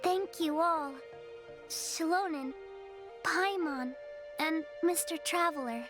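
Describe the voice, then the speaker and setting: A meek, anxious young girl from a video game. Her voice is very high and slow, halting as she searches for words. Music plays clearly behind her. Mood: timid, grateful. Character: timid, anxious young girl who lost her memory.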